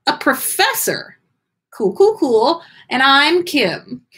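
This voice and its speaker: A woman voicing a joyful, energetic young female ghost with a gushing, breezy, casual way of talking in a story. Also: high voice, slow pace, pitched above the performer's own voice, heavily acted. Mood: bubbly, excited.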